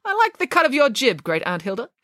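A woman's voice: fairly high pitch, huge pitch swings, quick pace, fairly bright tone, no echo, studio quality, pitched above the performer's own voice. A woman voicing a self-assured, haughty pig nanny with pompous, emphatic declarations. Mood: grand.

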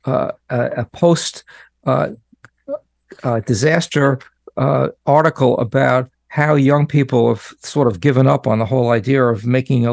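A man co-hosting a radio talk show, talking in a relaxed, halting way with plenty of fillers. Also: medium pitch, vocal fry, no room sound.

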